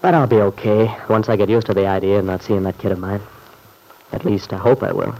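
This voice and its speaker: A man's voice: on the low side, a little fast, creaky, dark tone, a little hiss or hum, low-quality recording. An actor playing a weary, polite, subdued man in an old-time radio drama, speaking hesitantly. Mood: weary, resigned. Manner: hesitant, downbeat.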